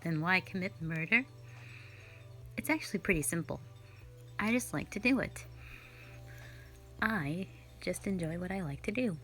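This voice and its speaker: A woman's voice: on the low side, expressive, a little slow, pauses often, fairly bright tone, soft background music. A woman voicing a cold, sardonic female slayer who is not human, talking in a dismissive, mocking, blunt way in an audio roleplay drama. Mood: teasing.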